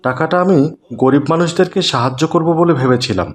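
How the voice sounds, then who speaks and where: A man voicing a kindly, generous gentleman in a cartoon. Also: on the high side, quick pace, natural voice. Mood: kind, reassuring. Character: kindly well-off gentleman, generous patron of the poor. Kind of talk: cartoon voice acting.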